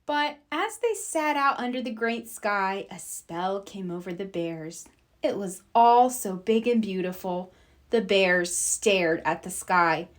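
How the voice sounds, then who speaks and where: A woman narrating a children's picture book in an expressive storytelling style. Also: mid-range voice, takes it slowly.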